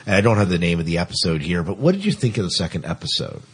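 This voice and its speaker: A man hosting a podcast in casual discussion, halting at times and repeating his phrases. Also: sits low, sing-song, breathy edge. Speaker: podcast host. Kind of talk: casual discussion of TV science fiction.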